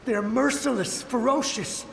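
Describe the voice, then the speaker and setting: A man's voice: extremely high pitch, moderate pace, vocal fry, heavily acted. A man playing a desperate, haunted soldier's ghost in a video game, urgent and dramatic, with a ghostly echo. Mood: horrified. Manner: urgent, fraught, declamatory. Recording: echoing long reverb, ambient noise.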